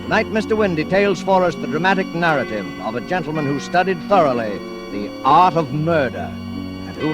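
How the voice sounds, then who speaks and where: High-pitched ominous radio host played by a man, over music. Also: natural voice, rough recording. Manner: formal, dramatic, measured phrasing. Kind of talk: 1940s radio suspense introduction, scripted. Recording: vintage 1940s radio with music.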